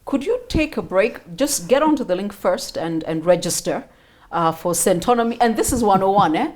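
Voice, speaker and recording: A woman with a Kenyan accent and a medium-pitched voice hosts a conversational interview. She talks with animated emphasis, repeating words to drive them home. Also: moderate pace. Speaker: interview host.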